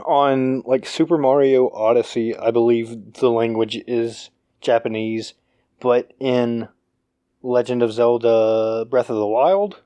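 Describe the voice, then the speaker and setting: A man's voice: mid-range voice, slow, dark tone, clean tone, dry recording, clean studio sound. A young man co-hosting a podcast in casual chat, rambling with lots of fillers and restarts. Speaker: podcast co-host.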